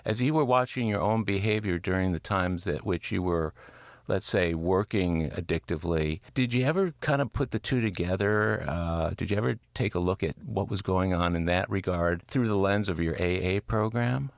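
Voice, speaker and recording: A mature man with a deep voice and plenty of vocal fry hosts an interview. He is measured and sympathetic, framing his questions reflectively. The sound is very dry. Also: dry recording. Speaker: interviewer, podcast host.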